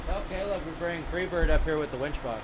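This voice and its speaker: A man's voice: a little high, fast talker. A man chatting casually on the job outdoors by a roadside, with a folksy, colloquial way of talking. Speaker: vlogger at a truck wreck recovery. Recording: very loud outdoor traffic and vehicle noise.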